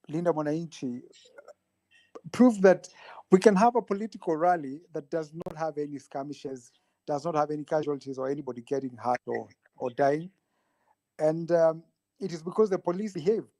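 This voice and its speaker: A man joining a political panel as a guest, speaking thoughtfully with pauses. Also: a little high, dark tone, no room sound. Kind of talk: discussion of politics.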